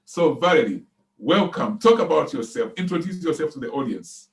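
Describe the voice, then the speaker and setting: A man hosting an online seminar interview, enthusiastic and halting with fillers. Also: fairly high pitch, very animated. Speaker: seminar host. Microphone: video call.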